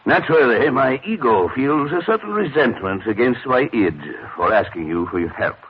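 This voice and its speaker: A man's voice: mid-range voice, lively intonation, moderate pace, natural voice. An actor playing a fussy, self-important psychoanalyst in 1940s radio drama, pedantic and hesitant, repeating himself as he goes. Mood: pompous, fussy. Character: fussy, rambling male psychoanalyst, self-important and easily flustered. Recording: dull, noisy old radio recording.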